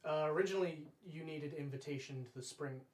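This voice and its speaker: A man in his thirties running a tabletop roleplay as game master, speaking formally and deliberately in character. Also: on the high side. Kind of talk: tabletop roleplay narration, speaking as a fae ruler. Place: indoor room, live-streamed tabletop game. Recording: roomy, distant mic, faint noise.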